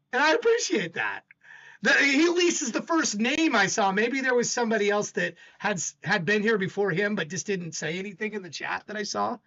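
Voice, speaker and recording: An older man hosts a livestream and talks casually to his chat. His voice is loud, bright and forceful, and he stammers as he rambles. The recording sounds dull and lo-fi. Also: very high-pitched. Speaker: stream host.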